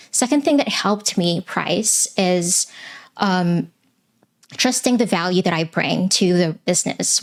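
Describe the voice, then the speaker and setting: Young adult woman from the Philippines, English not her first language; steady, thoughtful interview guest. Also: medium pitch. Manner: earnest and measured, with frequent ums. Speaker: web designer guest. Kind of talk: live interview answers.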